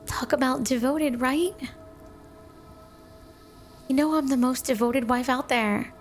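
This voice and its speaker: A sweet, high voice of a clingy snow spirit wife, acted by a woman, speaks in an intimate ASMR roleplay. She is flirty and utterly devoted, with a possessive edge. Music and rain sit clearly behind her. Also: slow. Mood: proud, teasing.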